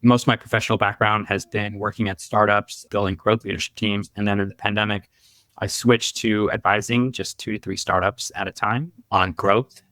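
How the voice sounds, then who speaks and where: A man's voice: lowish voice, little pitch movement, moderate pace, bright, forceful tone, no echo. A man from Southern California with an American accent, chatting casually as a podcast guest. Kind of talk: casual interview chat.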